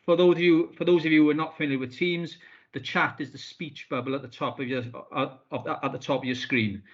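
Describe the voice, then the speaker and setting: A man's voice: on the high side, steady tone, a little fast. A man, a business support officer, giving a formal welcome to a webinar over a video call, with many ums and uhs. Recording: muffled video call audio.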